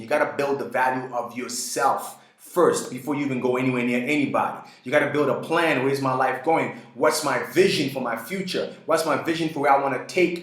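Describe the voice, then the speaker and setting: A man giving a motivational monologue to the camera, emphatic and preachy, hammering his points with repeated phrases. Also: medium pitch. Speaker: self-improvement vlogger. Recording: roomy echo.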